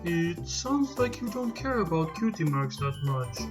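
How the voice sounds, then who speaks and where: A reader acts out a young male cartoon dragon, curious and easygoing, full of puzzled questions. The voice sits in a middle pitch, with music clearly audible underneath. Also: very animated, unhurried.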